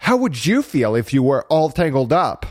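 A man's voice: medium pitch, sing-song, moderate pace, no room sound, studio quality. A man voicing a kindly, sensible little cricket in a children's storybook. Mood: gently chiding. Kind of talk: children's storybook character reading.

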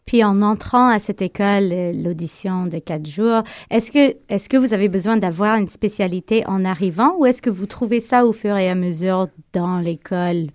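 A woman's voice: medium pitch, normal speed, few pauses, darkish tone, no room sound, clean studio sound. A woman, co-interviewing and rephrasing her questions as she thinks aloud.

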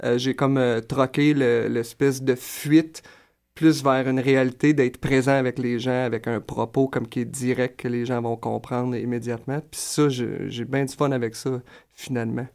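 A man's voice: mid-range voice, normal speed, creaky. Young adult male musician, Quebec French accent, easygoing and reflective, loose conversational delivery. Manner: relaxed, thoughtful, with many fillers and colloquial Quebec turns. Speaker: singer-songwriter guest. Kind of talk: casual interview answers.

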